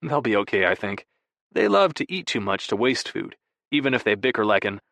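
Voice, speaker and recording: Man acting a quiet, devoted husband, very dry sound. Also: lowish voice, big pitch swings, brisk, gravelly, no room sound, heavily acted. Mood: playful. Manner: quiet, intimate, affectionate. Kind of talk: audiobook dialogue.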